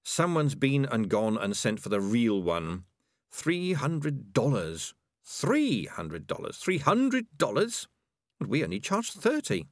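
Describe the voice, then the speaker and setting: Guy reading as a smug sardonic tomcat, wry thoughts to himself, very expressive storybook voice. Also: mid-range voice, slow pace, no echo, clean studio sound, voice pushed up, heavily acted. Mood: dismayed. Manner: smug, wry inner monologue. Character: smug, self-preserving, sardonic male cat.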